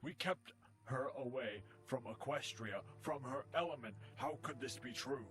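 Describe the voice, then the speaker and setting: A man plays a villain's general, grave and deliberate. He speaks at a middle pitch over faint music in a cartoon audio drama. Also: fairly bright tone, lightly acted. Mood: troubled. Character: grave male general serving an evil queen. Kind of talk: animated fan audio drama.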